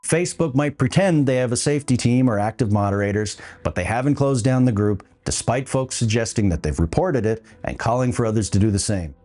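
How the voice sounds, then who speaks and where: A man's voice: medium pitch, a little fast, hardly any pauses, darkish tone. A man hosting a podcast, delivering scripted opinion commentary with a wry, dry humour. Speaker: podcast host.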